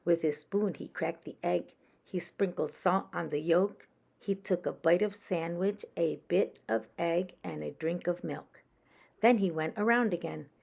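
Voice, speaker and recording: A woman reads a children's storybook aloud in a slightly low voice. She takes it very slowly, with some creak in her tone. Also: darkish tone.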